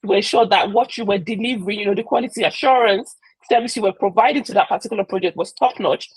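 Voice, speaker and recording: A woman's voice: mid-range voice, quick pace, breathy edge, dry recording, poor audio. A woman hosting an interview, recapping answers and asking questions in a hesitant way with many fillers. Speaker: host and moderator.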